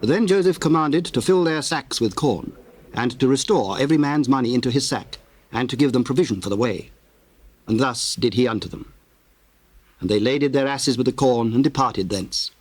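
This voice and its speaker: A man narrating a dramatized scripture, reading in a formal, measured way. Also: mid-range voice.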